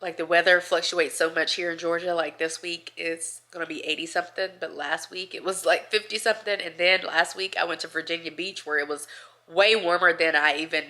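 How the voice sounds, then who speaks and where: Stuffy-nosed woman vlogging from home, lowish voice but bright and bold, rambly and giggly. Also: steady tone, brisk. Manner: chatty, rambling, laughs between thoughts. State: congested, nasal from a cold. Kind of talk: casual chat to camera.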